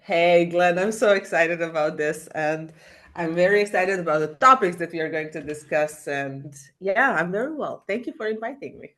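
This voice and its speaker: A woman from Croatia speaking as a podcast guest, animated and full of fillers and restarts. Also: fairly low pitch. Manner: animated, halting non-native English with many fillers. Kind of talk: casual interview.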